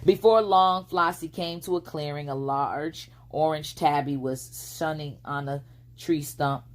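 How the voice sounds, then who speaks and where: A woman's voice: low voice, fairly even intonation, a little slow. A woman reading a bedtime storybook aloud as its narrator. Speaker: storybook reader.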